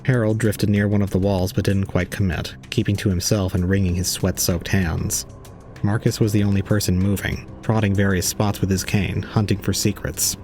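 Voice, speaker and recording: A man's voice: low voice, flat delivery, moderate pace, darkish tone, faint music behind, lightly acted. A man playing an aloof young man, narrating an audio drama in a formal, detached, cold way. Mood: brooding, detached.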